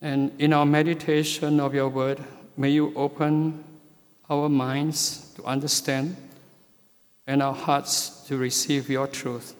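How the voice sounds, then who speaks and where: Slow, measured man preaching in a hall. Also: a little high, little pitch movement. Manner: slow, measured, with frequent hesitations. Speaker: church elder preaching. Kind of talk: sermon. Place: church sanctuary. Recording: long hall reverb.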